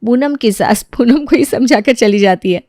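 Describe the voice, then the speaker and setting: A woman narrating a scripted moral story as an expressive storyteller. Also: a little high, moderate pace, no echo, studio quality.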